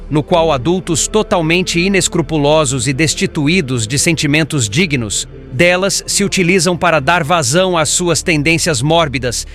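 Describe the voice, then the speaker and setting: A man voicing a patient, solemn, learned spirit instructor in formal, measured audiobook narration. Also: fairly high pitch, fairly bright tone, natural voice.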